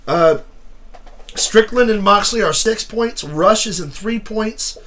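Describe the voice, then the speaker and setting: A man's voice: sits high, slow pace. A man hosting a casual wrestling talk show, losing his voice, who rants and swears. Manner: ranting, swearing, shouting in bursts. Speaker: wrestling podcast host. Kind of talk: casual chat.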